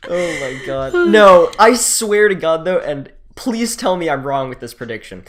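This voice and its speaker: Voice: medium pitch, animated, a little slow. A podcast co-host in casual chat, explaining points emphatically in long run-on sentences. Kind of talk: casual chat reviewing an anime episode.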